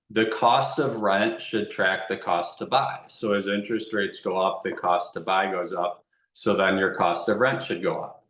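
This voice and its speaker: A man explaining things informally as a guest expert, repeating phrases for emphasis. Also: lowish voice. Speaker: guest real estate investment expert. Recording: roomy, echoey sound.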